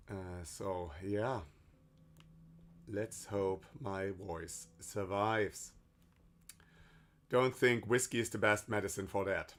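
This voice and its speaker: A hoarse man casually commenting while playing a game on stream, rambling loosely and swearing now and then. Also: on the low side, fairly bright tone. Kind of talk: casual let's-play commentary.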